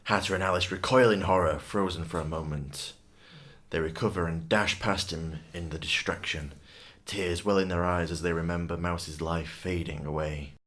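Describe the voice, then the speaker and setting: Deep-voiced man narrating a dark story. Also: fairly even intonation, moderate pace. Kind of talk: dramatic scripted story narration.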